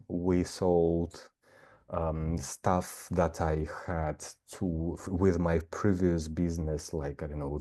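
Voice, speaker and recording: A man's voice: deep voice, mellow. A middle-aged man, a nature guide talking thoughtfully in an interview, with many fillers and restarts. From Siberia. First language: Russian. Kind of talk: casual conversation.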